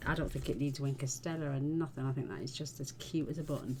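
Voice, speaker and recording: A woman hosting a casual card-making tutorial, chatting in a homely way as she works, her voice a little croaky. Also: a bass voice, fast, background noise. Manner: chatty, homely, talks while working. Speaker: card-making channel host.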